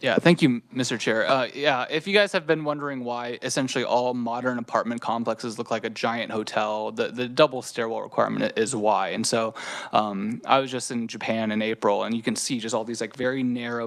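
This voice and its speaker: A legislator offers a casual, anecdotal comment at a committee hearing. His mid-pitched voice has a clear gravelly rasp running through it, and he talks in a loose, informal way. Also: a bit bright. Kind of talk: committee remarks. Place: state committee hearing room.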